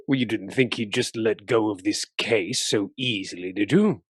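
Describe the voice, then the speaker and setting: An actor playing an avuncular police chief in a radio play, genial but easily flustered and hesitant. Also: male voice, lowish voice, moderate pace, husky, dry recording, clean studio sound. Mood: genial, placating. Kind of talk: dramatic radio-play acting.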